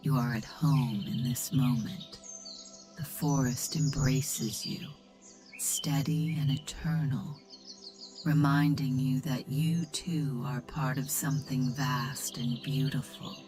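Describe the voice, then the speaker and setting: A woman narrates in a very deep, soft and breathy voice, close to a whisper. She takes her time, gently guiding the listener as in a bedtime meditation. Faint music and chirping birds sit behind her. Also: fairly even intonation. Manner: soothing, gentle, measured. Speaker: sleep story and meditation narrator.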